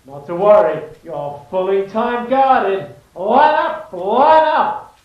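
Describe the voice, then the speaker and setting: Loud male fairground barker for radio drama, very slow, distant and slightly dull-sounding. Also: lively intonation, heavy reverb. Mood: showy. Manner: booming, drawn-out announcing. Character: loud male carnival barker herding an exhibit queue. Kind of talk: theatrical announcing in audio drama.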